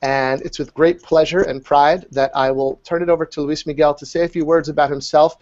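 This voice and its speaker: Male interview host, upbeat and scripted, a bit high-pitched; dull lo-fi sound. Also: fairly even intonation, a little fast, darkish tone. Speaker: show host. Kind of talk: hosting an interview. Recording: dull lo-fi recording.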